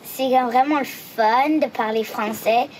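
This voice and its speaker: A woman's voice: fairly high pitch, a little slow, a little mellow, a little hiss or hum. A woman answering interview questions hesitantly and slipping in English words.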